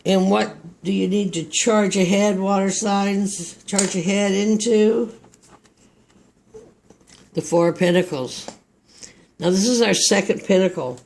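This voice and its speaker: Male tarot reader, Texas accent, fairly high voice, unhurried and rambling. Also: steady tone, gravelly, a little hiss or hum. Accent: Texan. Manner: slow, meandering, reflective delivery. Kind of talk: tarot card reading.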